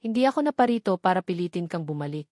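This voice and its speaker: A woman's voice: fairly low pitch, lively intonation, fast, dark tone, dry recording, clean studio sound, lightly acted. Woman voicing a restrained, guilt-ridden man in love. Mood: grave, restrained. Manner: restrained, pleading. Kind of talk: romance audio drama dialogue.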